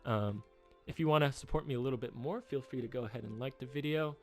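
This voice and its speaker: A man in his twenties rambling through a personal update, halting with many fillers and restarts, subdued by a rough time. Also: mid-range voice, darkish tone, soft background music. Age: 20s. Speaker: YouTuber.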